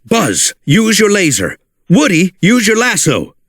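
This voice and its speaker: A man voicing a theatrical male toy hedgehog who speaks in a measured, dramatic way. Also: high-pitched, huge pitch swings, a bit bright, no echo, studio quality, pitched above the performer's own voice, exaggerated voice. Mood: commanding.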